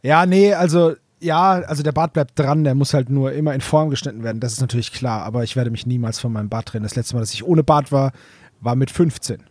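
A man's voice: medium pitch, fast talker, a little mellow, dry recording. A man co-hosting a podcast, chatting casually and conversationally. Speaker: podcast co-host.

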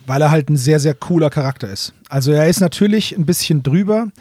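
A man with a voice set a little higher than most talks like a podcast co-host. His delivery is colloquial and conversational, as in an informal chat between fans. The sound is very dry, with hardly any room on it. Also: lively intonation, fast talker, dark tone, no room sound. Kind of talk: casual, conversational fan talk.

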